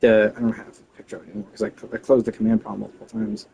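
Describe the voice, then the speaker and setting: A man's voice: fairly low pitch, sing-song, racing, gravelly, mellow, breathy edge. A man chatting casually on a game livestream, rambling and cutting himself off with mildly exasperated, slangy grumbling. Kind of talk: casual rambling stream chat.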